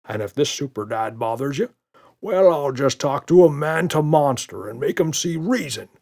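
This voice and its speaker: A man's voice: a little high, lively intonation, normal speed, vocal fry, a little mellow, pitched above the performer's own voice. A man voicing a stout, friendly monster in a children's audiobook, chummy and full of confidence. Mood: gallant. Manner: jovial, chummy, self-assured.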